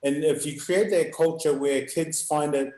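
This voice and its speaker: A middle-aged man with a loud, booming voice, a youth rugby coach talking in halting bursts with frequent laughs. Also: fairly even intonation. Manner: halting, restarts, laughs often. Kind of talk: live video call chat. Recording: echoey room.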